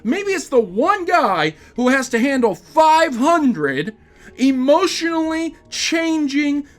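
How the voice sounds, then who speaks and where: A man's voice: very high-pitched, a bit bright. A young man hosting video game news, delivering opinionated commentary in an emphatic, sarcastic, ranting manner.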